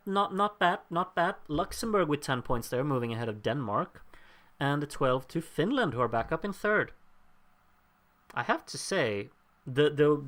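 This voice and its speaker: A man's voice: a little high, fairly bright tone. A man hosting a live stream, casually commenting on voting results and reading out viewer names with wry jokes, his voice a bit worn. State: losing his voice. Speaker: livestream host.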